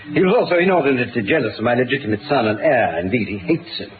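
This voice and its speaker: A man's voice: medium pitch, very animated, very fast, lightly acted. A man with a British accent playing a proud, troubled middle-aged Duke in a 1960s radio drama, formal and earnest. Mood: confessional, pained. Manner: formal, earnest, hesitant in places. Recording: tinny, hissy old radio recording.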